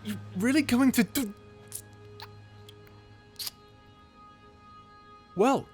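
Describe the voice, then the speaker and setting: A man's voice: on the high side, exaggerated voice. A man playing a flirty, self-deprecating space pirate captain in an intimate audio roleplay, with a hesitant, nervously laughing manner. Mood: teasing, flirty. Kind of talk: intimate ASMR roleplay acting. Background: music.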